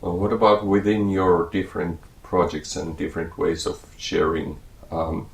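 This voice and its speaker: A male host asks questions in a low, deep voice with a gravelly edge. He sounds easygoing and friendly. The recording has a roomy echo around him. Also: flat delivery, normal speed. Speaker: interviewer host. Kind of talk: casual interview questions.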